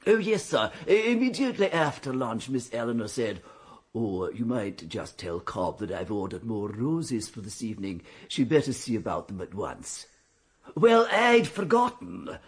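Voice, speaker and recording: English manservant, deferential, meticulous, hesitant, highly animated pitch, raspy, period radio drama. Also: male voice, normal speed. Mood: earnest explaining. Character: deferential, precise English manservant in a 1920s country house. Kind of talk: radio mystery drama acting.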